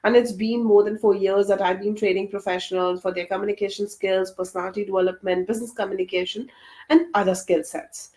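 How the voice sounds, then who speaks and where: A woman's voice: medium pitch, steady tone, fast talker, darkish tone. A woman, leading an English practice session as mentor over a video call, often repeating words as she prompts. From Delhi, India. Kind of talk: hosting a conversation practice session.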